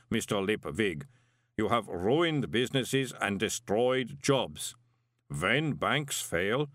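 A man voicing a huge, impassive clay golem in an audiobook, with slow, formal, measured speech and a rumbling voice. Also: fairly bright tone, no echo, studio quality. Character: impassive male clay golem, huge, literal-minded, sternly moral. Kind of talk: audiobook character voice.

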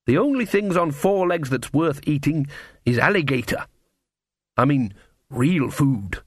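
A woman's voice: low voice, lively intonation, measured pace, slightly rough, no echo, clean studio sound, exaggerated voice. A man voicing a proud, knowing middle-aged woman cook with folksy, emphatic dialect for a storybook. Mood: scornful.

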